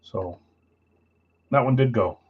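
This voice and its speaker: A man's voice: slow pace, slightly rough, narrow, tinny audio. A man hosting a live trading card break stream, casually reading out buyers and spots in a matter-of-fact voice he calls boring. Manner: self-described boring voice, low-key and matter-of-fact.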